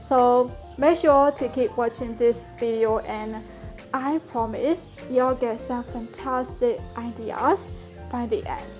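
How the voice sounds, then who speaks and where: A young woman with a Burmese accent talks through a language-learning lesson in a vlog. Her voice sits a little high, and her delivery is earnest and explanatory, leaning on "so" and "like". Faint music plays underneath. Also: slow pace.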